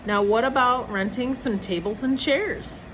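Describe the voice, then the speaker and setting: A woman's voice: a little high, unhurried, pitched above the performer's own voice, natural voice. A woman voicing a practical, fretful storybook wife who suggests and objects, with noise behind. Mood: suggesting. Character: practical, fretful wife planning a family dinner.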